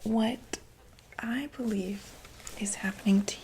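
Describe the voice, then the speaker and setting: Slow, whispering woman in ASMR doctor roleplay. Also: mid-range voice, steady tone, background noise. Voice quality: breathy, mostly unvoiced whisper. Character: calm, attentive doctor to monsters.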